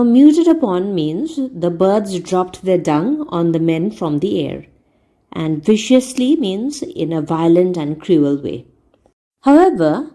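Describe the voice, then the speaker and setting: A woman reading a story aloud as a teacher, in a deliberate, explanatory manner. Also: fairly low pitch, warm tone. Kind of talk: read-aloud narration with word explanations.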